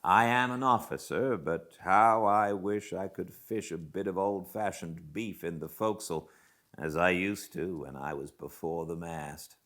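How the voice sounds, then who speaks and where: A man acting out an aggrieved, deep-voiced ship's officer. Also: unhurried, fairly bright tone. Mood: mournful complaint. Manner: lamenting, declamatory. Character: aggrieved, hungry male ship's officer, wistful and self-pitying.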